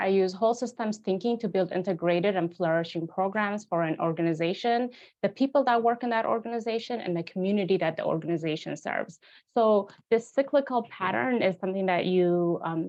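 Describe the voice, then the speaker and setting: A woman from Ethiopia giving a webinar talk as practitioner and researcher, earnest, with many fillers and restarts. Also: on the low side. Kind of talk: presenting a talk. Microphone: video call. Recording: tinny phone-like video call audio.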